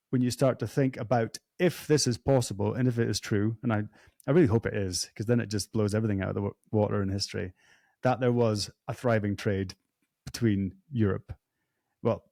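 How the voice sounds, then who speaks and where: A man's voice: on the low side, creaky, mellow, no echo. A man hosting a podcast, chatting casually and thinking aloud with many hesitations. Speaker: podcast host. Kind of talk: casual conversation.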